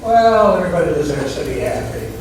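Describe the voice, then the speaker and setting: A man's voice: a little high, expressive, fast, natural voice. A man acting on a community theatre stage as an affable, fatherly former parole officer, casual, wry and blunt. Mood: blunt, downbeat. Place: indoor theatre stage, mic far off. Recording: distant, very echoey stage recording with clear noise.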